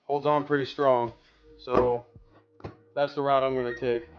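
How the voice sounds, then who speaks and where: A man's voice: mid-range voice, level tone, a little slow, highs cut off, background noise. A man giving a casual hands-on tutorial, rambling a little as he thinks aloud while working. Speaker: DIY car audio vlogger.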